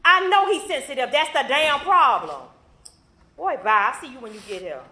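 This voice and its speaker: A woman's voice: high voice, expressive, moderate pace, echoey room. An actress playing a harsh, abusive mother who scolds and scorns her son. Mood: scornful. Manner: scolding, sharp Southern slang. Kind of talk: stage play acting.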